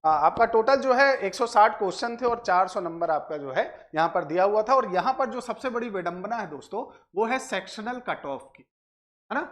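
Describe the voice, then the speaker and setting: A man's voice: high-pitched, expressive, moderate pace, fairly bright tone. Man explaining to students. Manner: addresses viewers directly, repeats filler phrases and check tags. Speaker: exam coaching presenter. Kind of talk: explanatory exam analysis for students.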